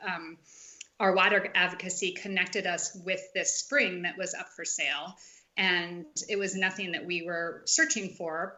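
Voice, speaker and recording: Bright-voiced woman chatting as a podcast guest. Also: on the low side. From Hawaii. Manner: chatty, rambling with many fillers. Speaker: podcast guest, spring water business owner. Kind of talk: casual interview conversation.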